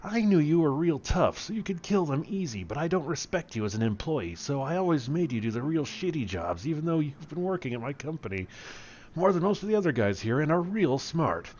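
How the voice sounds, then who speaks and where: A man's voice: fairly high pitch, big pitch swings, a little fast, a little mellow, muffled recording, voice pushed up. A man voicing a smug, scheming boss villain in a comic story, pompous and long-winded.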